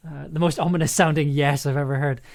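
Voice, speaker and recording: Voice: medium pitch, steady tone, fast, creaky, a little mellow. An enthusiastic audio producer chatting casually and effusively on a livestream while editing. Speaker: audio drama producer and streamer.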